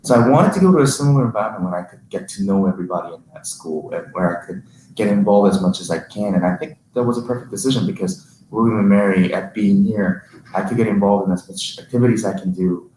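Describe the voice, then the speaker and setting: A young man from South Korea, a student panelist chatting casually with frequent fillers. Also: fairly low pitch. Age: young adult. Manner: relaxed, halting with fillers. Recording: roomy with faint hiss.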